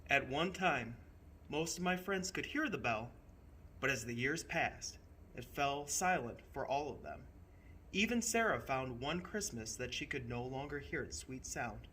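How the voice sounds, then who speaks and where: Male storyteller, mid-pitched and forceful, theatrical children's book delivery, deliberate pauses, slight background noise. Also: expressive. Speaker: storyteller playing a train conductor. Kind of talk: expressive children's storybook narration.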